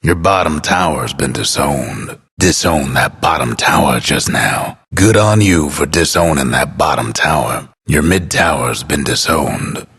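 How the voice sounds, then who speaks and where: Very deep, husky folksy narrator. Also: male voice, animated, a bit bright, heavily acted. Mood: wry, understated. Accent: American. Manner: folksy, unhurried, understated. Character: laconic folksy drawling male narrator, wry and world-weary. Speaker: game announcer. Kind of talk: scripted game announcer lines.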